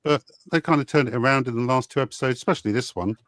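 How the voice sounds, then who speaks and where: A man gives opinions in casual panel chat. His pitch sits in the middle for a man. The recording is close, with no room sound around him. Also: racing, fairly bright tone, no room sound. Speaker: panellist on a pop culture show.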